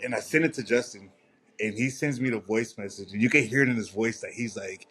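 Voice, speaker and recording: A man, a bodybuilder co-hosting a podcast, chatting in a relaxed, teasing way. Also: fairly low pitch, fairly quick, husky. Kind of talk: casual chat.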